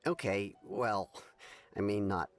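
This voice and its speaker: An actor playing a frank, easygoing local townsman in a video game, speaking in a measured, hesitant way. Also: lowish voice. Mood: gossiping, frank. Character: easygoing, candid townsman, a watchful local informant. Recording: music playing behind.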